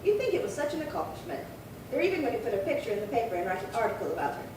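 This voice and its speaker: A woman's voice: a little high, very animated, very fast. A woman acting a prim, chatty, indignant old lady in her late seventies in a 1950s-style radio crime drama, fussy and rambling. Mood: peevish. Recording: very echoey, distant, noisy room.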